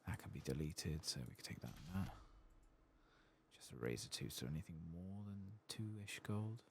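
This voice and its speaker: A man with an extremely low, soft-spoken voice gives ASMR gameplay commentary. His murmured delivery rises and falls freely in pitch as he thinks aloud. He sits close to the mic, with faint noise behind him. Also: lively intonation, normal speed. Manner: muttered, absent-minded thinking aloud, casual swearing. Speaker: host of a gameplay channel.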